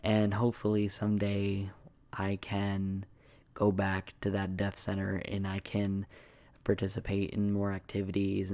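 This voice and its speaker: Low, flat, creaky young man's ASMR voice. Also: no room sound. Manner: casual, hesitant, meandering, frequent "like". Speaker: ASMR video creator. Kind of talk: soft-spoken ASMR rambling.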